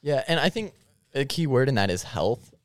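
A man's voice: mid-range voice, big pitch swings, moderate pace, dry recording, clean studio sound. An eighteen-year-old man talking informally as a guest on a podcast. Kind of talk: casual discussion.

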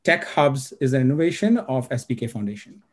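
A man's voice: medium pitch, very animated, fairly quick. A man hosting an online speaker-series interview, giving formal introductions with many hesitant "uh" fillers.

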